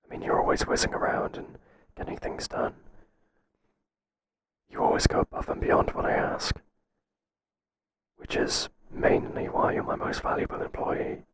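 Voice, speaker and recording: A man playing a hesitant, flattering boss in a whispered ASMR roleplay, speaking softly and breathily in a halting, confiding way. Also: background noise, close to an everyday voice. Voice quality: soft, breathy, mostly whispered.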